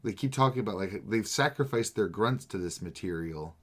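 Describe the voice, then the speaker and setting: A man's voice: lowish voice, lively intonation, fairly quick. A man chatting casually as a podcast co-host, with a wry, sarcastic, profane way of talking. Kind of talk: casual banter about manga. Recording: noticeable background noise.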